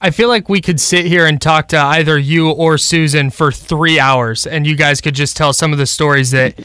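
Bright, higher-voiced young American male radio host. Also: steady tone, moderate pace, smooth, no echo, studio quality. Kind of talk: conversational radio interviewing. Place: small padded radio studio. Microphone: close studio broadcast mic.

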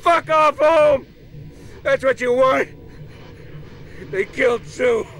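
An actor playing a desperate, enraged, foul-mouthed criminal in a video game cutscene, agitated and raw, with music behind him. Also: male voice, extremely high pitch, slow, fairly bright tone, exaggerated voice. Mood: furious.

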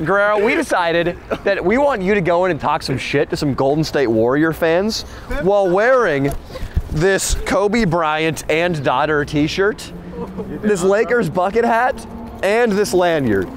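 A man's voice: high voice, lively intonation, a little slow. A young man hosting an outdoor comedy street show, bantering in brash, joking slang. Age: young adult. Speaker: prank show host. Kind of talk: casual banter, comic street bits. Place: outdoor city plaza.

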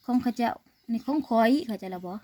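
A woman's voice: on the high side, rapid-fire, warm tone. A woman with an Isan Thai accent chatting casually outdoors in the countryside. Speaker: vlogger's companion. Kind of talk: casual chat. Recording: noisy outdoor recording, sometimes distant.

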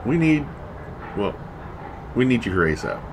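A man playing a gruff, commanding checkpoint captain in an audio drama, short-tempered and curt in military phrasing. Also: mid-range voice, sing-song, moderate pace. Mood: exasperated. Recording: noisy vehicle and outdoor ambience behind.

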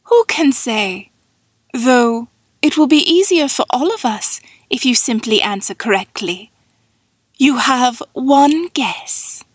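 A woman's voice: high voice, slow pace, no room sound, studio quality, full character voice. A woman playing an ancient, all-knowing sphinx in a fantasy audiobook, speaking in a dreamy, formal drone with cool menace. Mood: calm menace. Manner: dreamy, droning monotone, formal and cryptic.

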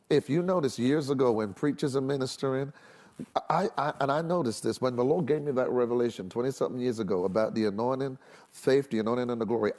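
A man's voice: medium pitch, a little mellow. A middle-aged man, a minister, teaching in an emphatic, explanatory way on a studio panel and repeating phrases for weight. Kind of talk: preaching-style panel talk on a religious TV show. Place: large TV studio set with audience.

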